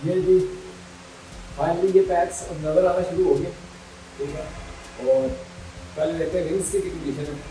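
Young man, fitness vlogger, casual progress check, slightly high voice, booming gym echo, mic far off, music behind. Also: steady tone, normal speed, long pauses. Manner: talks while posing and checking muscles. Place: large gym hall with bare walls. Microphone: far camera.

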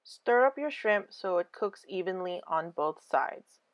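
A woman giving a scripted cooking voice-over, calm clear step-by-step instructions for a home recipe. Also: on the low side, measured pace. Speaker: cooking channel host.

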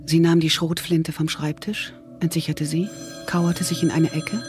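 A man's voice: a little high, little pitch movement, a little slow. A man narrating a scripted German thriller audio drama. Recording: music behind the narration.

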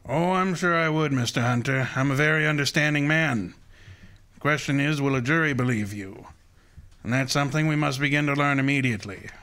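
An actor playing a shrewd, commanding private detective with formal, precise diction in an old-time radio drama. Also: male voice, medium pitch, a little fast, bright, forceful tone, smooth, natural voice. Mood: grave, measured. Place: live stage before an audience.

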